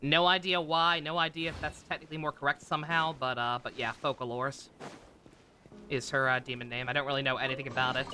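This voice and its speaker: A man's voice: medium pitch, steady tone, fast talker, pushed and bright, slight background noise. A man streaming a video game with casual, rambling commentary, often hesitating and trailing off mid-thought. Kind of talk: casual livestream commentary over gameplay. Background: game music.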